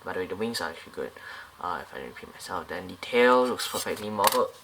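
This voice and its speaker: A man's voice: low-pitched, animated, quick pace, noisy background. A man casually reviewing a scale model airliner up close, talking in a loose, rambling way with many fillers and restarts.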